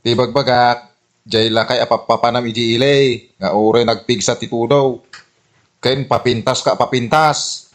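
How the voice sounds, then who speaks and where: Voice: medium pitch, steady tone, measured pace, close to an everyday voice. A performer playing a teasing, nosy neighbour with blunt advice and an Ilocano accent in a radio comedy drama. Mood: mocking.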